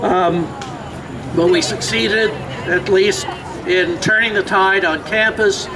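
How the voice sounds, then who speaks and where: A man's voice: high voice, steady tone, noisy background. Man giving a union rally speech outdoors. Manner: measured and earnest, with occasional fillers. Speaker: union representative. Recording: distant mic outdoors with crowd.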